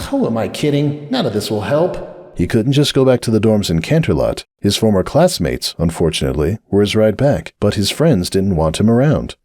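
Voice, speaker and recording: A man narrating a dramatic audiobook reading in an expressive storytelling manner. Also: no echo, studio quality. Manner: expressive storytelling with shifting pitch.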